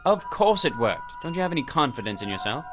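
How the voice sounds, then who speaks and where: Male actor as sardonic alter ego, breezy, goading, animated intonation, theatrical audio drama. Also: mid-range voice, quick pace, music in the background, lightly acted. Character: conjured male double, breezy, needling, wisecracking alter ego.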